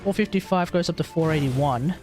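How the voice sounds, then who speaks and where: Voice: medium pitch, brisk, darkish tone. A game streamer rambling casually to themself and the chat while commentating live play. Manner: rambling, talks to self and chat. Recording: game music behind the voice.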